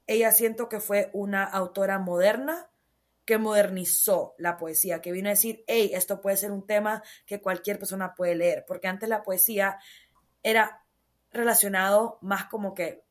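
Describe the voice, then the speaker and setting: A woman's voice: mid-range voice, normal speed. Chatty young Honduran woman. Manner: animated, storytelling with many fillers. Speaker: guest author. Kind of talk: casual interview chat.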